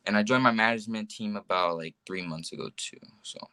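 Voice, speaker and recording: A teenage boy tells casual stories in a crisp, fairly low voice. He rambles and peppers his talk with "like". The sound is close and dry, with a bright edge. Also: brisk, no echo. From United States. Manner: rambling, says "like" very often. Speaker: guest, young social media influencer. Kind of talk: casual chat, telling personal stories.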